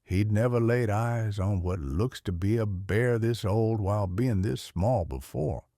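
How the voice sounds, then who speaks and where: A man with a Southern US accent reading a children's storybook aloud in a folksy, expressive way. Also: fairly low pitch, measured pace, dry recording, clean studio sound. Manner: folksy, animated.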